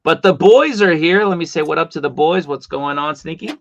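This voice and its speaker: A man's voice: on the high side, fairly quick, dull audio, slight background noise. A middle-aged man with a Boston accent hosting a casual livestream, chatting and reading out comments from viewers. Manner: chatty, reads chat comments aloud. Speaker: livestream host. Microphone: desktop mic.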